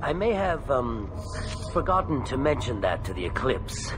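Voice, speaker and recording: Male actor as wheedling alien merchant, video game, shifty, theatrical pitch swings, prominent music. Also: sing-song, moderate pace, lightly acted. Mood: sly, cautious. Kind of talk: video game character acting. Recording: loud music behind.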